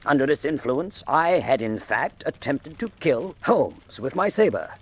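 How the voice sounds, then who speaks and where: A grave doctor narrating a mystery radio play, husky, super expressive intonation. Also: male voice, medium pitch, a little slow. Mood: grave, ominous. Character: grave, shaken male doctor recounting a mystery. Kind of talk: dramatic first-person scripted narration.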